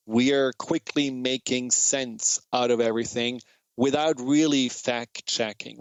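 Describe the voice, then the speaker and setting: A man's voice: medium pitch, no echo, muffled recording. A man from Germany with a German accent, earnestly hosting a radio talk show and often restarting his phrases as he searches for words. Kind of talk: reflective monologue on a talk show.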